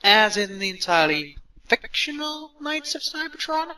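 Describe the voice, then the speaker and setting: A skeptical robot scholar voiced by a man speaks with sardonic disbelief in an audio drama. The voice is sharp and very animated in pitch. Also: normal speed, exaggerated voice, low-quality recording. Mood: incredulous, dismissive. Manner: dry, incredulous, sardonic.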